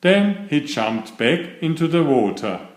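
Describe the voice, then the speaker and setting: A man reading a children's story aloud in an expressive, deliberate, dramatic manner, stretching key words. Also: on the high side. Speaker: children's story narrator and author. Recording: roomy, echoey sound.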